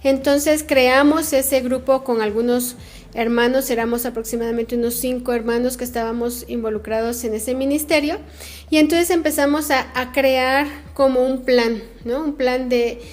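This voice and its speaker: A middle-aged woman with a Guatemalan accent speaks earnestly as an interview guest. Her voice sits a little high, and she stops to search for words often, filling the gaps with "este" and "eh". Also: steady tone, normal speed, a little mellow. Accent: Guatemalan Spanish. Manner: earnest, frequent fillers like "este" and "eh". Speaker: missionary guest. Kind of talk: interview answers.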